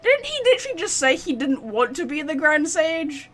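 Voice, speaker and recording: A woman chatting casually and excitably as she livestreams a game, rambling to her viewers. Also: high-pitched, normal speed, faint music behind. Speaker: live streamer. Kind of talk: casual game stream chat.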